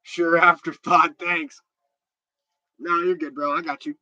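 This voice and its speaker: A man's voice: fairly high pitch, flat delivery, unhurried, sharp tone, no echo, dull audio. A stuffed-up man hosting a casual livestream, chatting loosely and answering viewer comments with many restarts. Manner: rambling and chatty, with repeated restarts. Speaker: livestream host.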